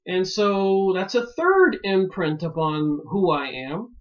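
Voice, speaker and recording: A man from Pennsylvania musing aloud in a rambling solo monologue, pausing often and filling gaps with "uh". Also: high voice, lively intonation. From Pennsylvania, United States. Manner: slow and musing, many pauses and fillers. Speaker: video commentator. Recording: dull lo-fi recording.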